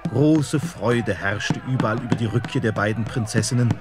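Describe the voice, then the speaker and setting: A man narrating a fairy-tale radio play in an expressive storytelling manner. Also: medium pitch, normal speed, over music.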